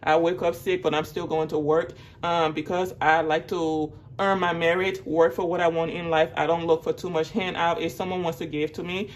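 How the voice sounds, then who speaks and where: A woman's voice: flat delivery, moderate pace, hardly any pauses. A woman giving a casual, earnest tarot reading as a monologue, cautioning the listener as she goes. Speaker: tarot reader. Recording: noticeable background noise with low rumble.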